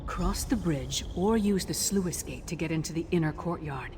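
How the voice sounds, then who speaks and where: An actress playing a tough, battle-hardened warrior scout in a video game, blunt, commanding and dry. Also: female voice, low voice, moderate pace, noisy background, close to an everyday voice. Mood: commanding, practical. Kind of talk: video game quest dialogue.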